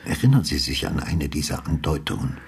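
Casting: older ex-detective, extremely low, very husky voice, patient, brooding, quietly probing radio-drama delivery. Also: male voice, very animated, full character voice. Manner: measured, sober questioning. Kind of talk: understated German radio drama acting.

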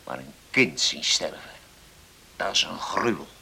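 A husky, gravelly seaman in a radio play, blunt and stubborn yet good-hearted. His voice has a forceful edge, and he talks in plain, gruff phrases. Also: low-pitched, expressive, measured pace, full character voice. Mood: weary, grave. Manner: gruff, hesitant, plain-spoken. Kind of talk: radio drama dialogue.

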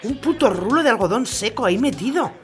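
A woman's voice: fairly high pitch, expressive, quick pace, soft background music, close to an everyday voice. A woman acting an angry, foul-mouthed monologue in a comic audio play, blunt and indignant. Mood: fed up, indignant.

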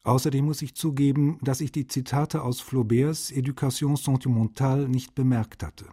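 A male actor voices a courteous literary translator. His voice is mellow and slightly husky, at a middle pitch. He reads his letters politely and formally, as in a radio feature. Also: fairly even intonation, normal speed, lightly acted. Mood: courteous, earnest.

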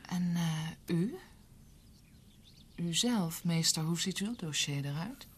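An actress playing an anxious, devoted young niece in a radio drama, earnest, questioning and deferential. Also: female voice, on the low side, expressive, slow pace, husky, heavily acted. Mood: curious, urging. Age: young adult.

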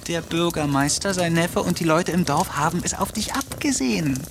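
An actor playing a sharp-tongued male house cat in a radio play, biting and reproachful. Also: a little high, expressive, moderate pace, noisy background. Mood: mocking.

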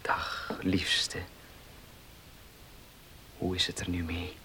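An actor playing a worried, tender husband in a radio drama, speaking with hesitant concern. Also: male voice, low voice, monotone, drawn-out words, pushed and bright, whispered, exaggerated voice. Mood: tender, soothing. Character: anxious, tender husband of a frail wife. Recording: noisy old radio play recording.

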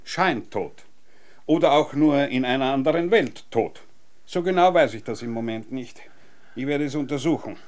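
An eccentric scientist in an absurd radio comedy, acted by a man. He lectures in a grand, theatrical way, his medium-pitched voice rising and falling in lively sweeps. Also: normal speed, close to an everyday voice. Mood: pompous, matter-of-fact. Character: eccentric, naked time-theorist scientist back from apparent death. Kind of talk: absurd comic radio drama acting.

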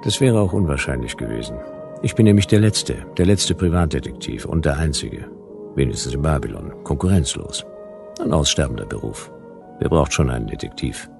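A man's voice: extremely low pitch, lively intonation, moderate pace, gravelly, music in the background, heavily acted. An actor playing a world-weary, wry private detective who narrates his case in laconic, sardonic phrases in a radio play. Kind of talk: hard-boiled radio drama narration.

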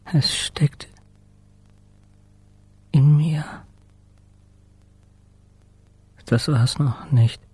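Slow-speaking anguished male patient. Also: medium pitch, warm tone, husky, no echo. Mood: anxious, drowsy. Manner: slow, fragmented, introspective, sometimes whispering. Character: anguished male hospital patient recounting his ordeal. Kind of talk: radio play monologue, scripted first-person narration.

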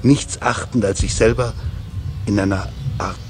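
Low-voiced man reading over music. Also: steady tone, unhurried. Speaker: reader in a radio feature. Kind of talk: scripted literary radio reading. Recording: music behind the voice.

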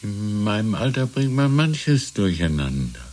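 Deep-voiced, hesitant old man. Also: sing-song, unhurried, a little mellow. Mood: courteous, evasive. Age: older. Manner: hesitant, musing, old-fashioned courteous phrasing. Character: cautious, evasive old shopkeeper, courteous and wistful. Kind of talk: 1970s German radio play acting.

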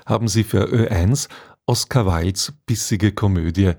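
A man's voice: lowish voice, measured pace, darkish tone. A man presenting a radio play with a scripted introduction, as a broadcast announcer.

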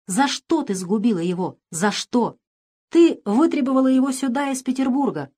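A woman's voice: medium pitch, animated, moderate pace, lightly acted. Hot-tempered nobleman son, anguished, impassioned and accusing, for audiobook drama, performed by a woman. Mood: heated reproach.

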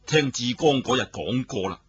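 A man telling a traditional story in a dramatic, animated way, addressing his listeners. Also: mid-range voice, moderate pace, breathy edge, no echo, highs cut off.

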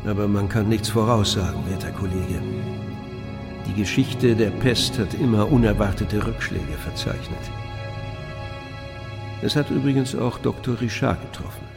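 A man's voice: on the low side, normal speed, creaky, music in the background. An actor playing a courteous, cautious senior doctor in a radio play, formal and warning in his delivery. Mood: guarded courtesy.

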